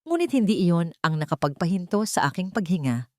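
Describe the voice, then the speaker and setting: A woman's voice: lowish voice, big pitch swings, brisk, mellow, dry recording, studio quality. A woman reading a scripted dramatic story in the first person, as an audiobook narrator.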